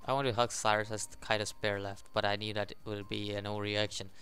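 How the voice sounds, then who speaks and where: A man hosting a gaming video, reading a visual novel aloud in a halting way, with casual commentary. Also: fairly low pitch, level tone, fairly bright tone, clear, resonant tone, faint music behind. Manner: halting, stumbles over the text.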